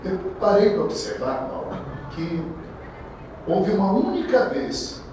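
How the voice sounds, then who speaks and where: A man's voice: sits high, very animated, unhurried, a little mellow. A man in his sixties from Brazil preaching a sermon in a conversational, anecdotal way, restarting his phrases often. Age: 60s. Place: large echoey hall. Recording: echoey hall, distant mic, background noise.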